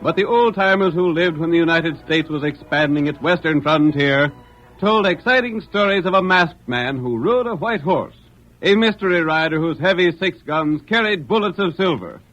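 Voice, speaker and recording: Male announcer, high-pitched, forceful, declamatory western radio narration, muffled old recording, music behind. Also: lively intonation, moderate pace. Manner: theatrical, declamatory.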